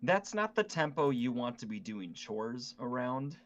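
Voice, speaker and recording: A man co-hosting a podcast in relaxed, casual conversation. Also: medium pitch, unhurried, lo-fi sound, a little hiss or hum. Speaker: podcast co-host. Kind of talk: casual chat.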